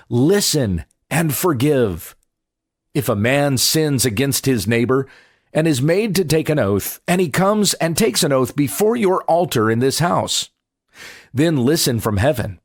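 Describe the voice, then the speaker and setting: A man reading the Bible aloud as a pastor, with solemn, measured phrasing. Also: a little high, no echo, clean studio sound.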